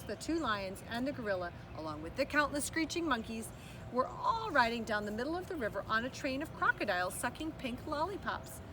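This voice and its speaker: A woman reads a children's story aloud with very animated intonation. Her voice sits a bit high. She is some way from the mic, with clear noise behind her. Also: distant mic. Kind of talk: expressive read-aloud narration of a children's book.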